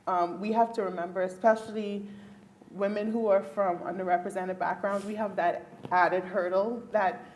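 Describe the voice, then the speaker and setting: A woman's voice: medium pitch, fairly even intonation, normal speed, darkish tone, slight background noise. A woman from the Bahamas, a neurologist, speaking earnestly on a panel at a luncheon and laughing now and then. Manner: earnest, emphatic, laughs often. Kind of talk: panel discussion answer. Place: luncheon event hall.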